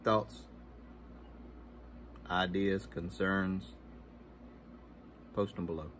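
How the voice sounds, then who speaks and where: Male vlog host, middle-aged. Deep voice, plainspoken, clipped casual delivery, noticeable background noise. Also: fairly even intonation, drawn-out words, a bit bright. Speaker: video host. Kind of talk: casual advice to camera. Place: indoors, unfinished workshop or basement. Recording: noisy room sound.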